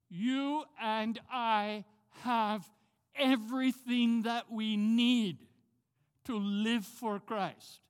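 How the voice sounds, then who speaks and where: A man's voice: high-pitched, smooth. An older man from Jamaica with a Jamaican accent, preaching a sermon as a pastor and driving his points home by repeating key words. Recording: long hall reverb.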